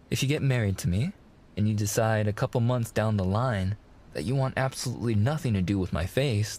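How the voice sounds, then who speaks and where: A man's voice: medium pitch, a little mellow, lightly acted. A young man playing a smitten, protective mafia fiancé in a boyfriend ASMR roleplay, with slangy, hesitant, reassuring wiseguy talk.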